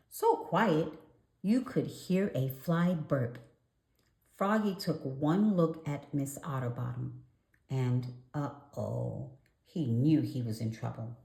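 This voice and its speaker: A woman reading a children's story aloud in an animated narration, with deliberate dramatic pauses. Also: low-pitched, vocal fry. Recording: echoey room.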